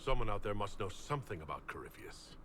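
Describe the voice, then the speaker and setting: An earnest giant of a warrior leader. The voice is low and resolute, in video game style, with faint noise behind. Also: male voice, very animated, quick pace, a bit bright. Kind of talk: dramatic video game acting.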